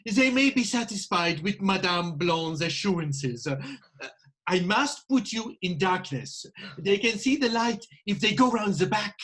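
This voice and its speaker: A man's voice: high voice, expressive, a little slow, a bit bright. A man playing an anxious, fussing hotel proprietor in a staged reading of a Victorian farce, urgent but polite.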